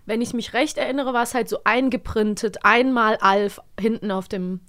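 High-voiced woman chatting on a podcast. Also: fairly even intonation, normal speed, a bit bright, no room sound, studio quality. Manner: enthusiastic, giggly, informal. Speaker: podcast co-host. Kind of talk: casual podcast chat.

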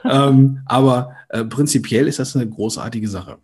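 A man's voice: mid-range voice, moderate pace, a little mellow, husky. A man hosting a casual podcast conversation, playful and stumbling a little over his words. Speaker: podcast host.

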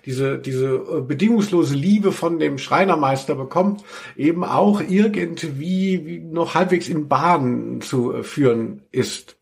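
A man with a slightly high voice co-hosts a podcast on audio dramas. His delivery is casual and conversational, with a wry humour and joking asides. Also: a little slow, gravelly, darkish tone. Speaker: podcast host.

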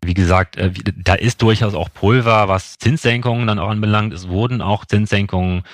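A man speaks in a low voice that hardly rises or falls. He explains like an analyst being interviewed, at length and with frequent asides. The sound is dry and close, with no room around it. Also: steady tone, a little fast, dry recording. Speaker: investment expert guest. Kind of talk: analytical interview commentary on markets.

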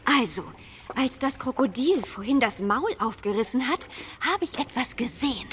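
A bright, high voice gives us a clever young girl, self-assured and brisk. She speaks as an adventurer in a children's audio drama. There is faint noise behind her. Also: expressive. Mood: eager, plotting.

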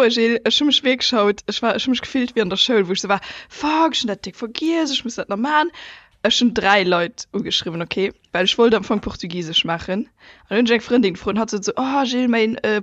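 A woman's voice: mid-range voice, fairly bright tone, no room sound, lo-fi sound. Chatty laughing woman with a Luxembourgish accent. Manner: chatty, laughs often, trails off in restarts. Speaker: podcast co-host. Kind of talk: casual chat.

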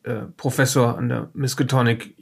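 Audio drama role: defensive, nervy academic of the occult, acted by a man, creaky voice, hesitant delivery. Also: mid-range voice, flat delivery, a little mellow. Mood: guarded. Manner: hesitant, halting, testy. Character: tense, defensive male occult professor under police questioning. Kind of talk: improvised audio drama dialogue.

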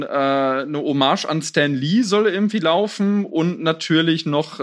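A man chatting casually and with clear opinions as co-host of a film news show. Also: on the high side.

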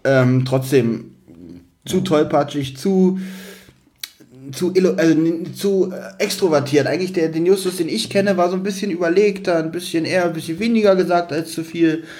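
A man talks casually in a somewhat high-pitched voice. He is a podcast co-host who speaks haltingly, often searching for the right word as he makes his point. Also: a little mellow. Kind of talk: casual discussion.

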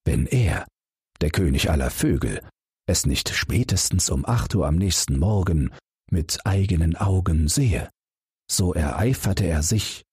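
A man reading a bedtime fairy tale aloud as an audiobook narrator, calm and expressive. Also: deep voice, measured pace, husky, no room sound, clean studio sound.

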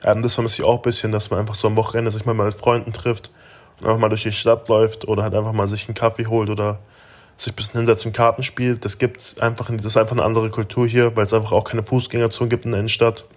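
A young man of nineteen from Germany talks through interview questions in a loose, rambling way, full of fillers and restarts. His voice sits on the low side and stays flat, with little rise and fall. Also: fast. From Germany (Augsburg). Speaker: interview guest, basketball player. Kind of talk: casual interview answers.

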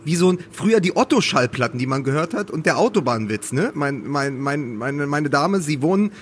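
A man with a moderately high voice and light ambient noise behind him. He banters as a podcast co-host, teasing wryly and haltingly repeating words. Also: animated. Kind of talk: casual humorous football podcast banter. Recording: faint background noise.

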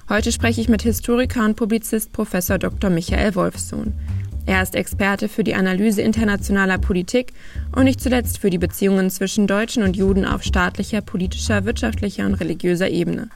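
A woman speaks as a podcast host and interviewer, with noticeable vocal fry at a middling pitch. Faint music sits behind her voice. She delivers introductions and polite questions. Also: steady tone, fairly quick.

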